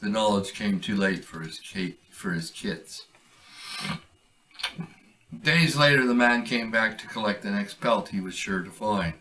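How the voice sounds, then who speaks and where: A man reading aloud a short story he wrote, in a measured, deliberate way. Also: on the low side, sharp tone, recorded from a distance, poor audio. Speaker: author reading his own story.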